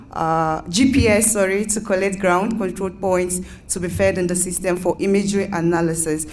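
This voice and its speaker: A woman's voice: lowish voice, a little mellow, slight background noise. A woman from Nigeria with a Nigerian accent, giving an academic conference presentation with many fillers and self-corrections.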